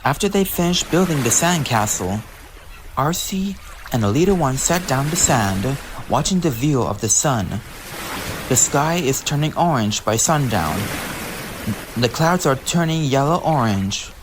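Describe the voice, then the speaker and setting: An amateur narrator reading a storybook aloud in a simple, earnest, slightly halting way. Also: medium pitch, animated, background noise.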